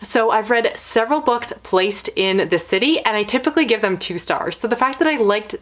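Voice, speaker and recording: A woman's voice: fairly high pitch, animated, a little fast. A woman reviewing books in a casual video style, talking in long, considered explanations. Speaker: book reviewer.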